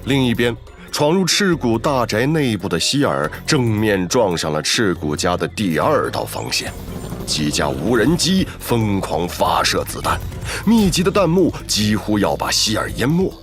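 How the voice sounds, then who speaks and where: A man delivering scripted dramatic narration of an animated story. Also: on the low side, measured pace, faint music behind.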